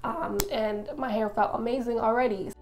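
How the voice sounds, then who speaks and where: A woman's voice: fairly high pitch, steady tone, moderate pace, over music. A woman in her twenties, a hair vlogger, narrating in a casual, chatty voice-over full of filler words like "like".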